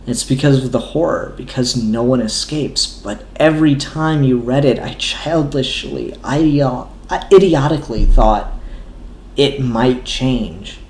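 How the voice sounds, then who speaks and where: A man reading the part of a wounded, stubborn grown son in a comic book, earnest and deliberate. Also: medium pitch, darkish tone, slight background noise, natural voice. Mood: pained, subdued. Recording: echoey room.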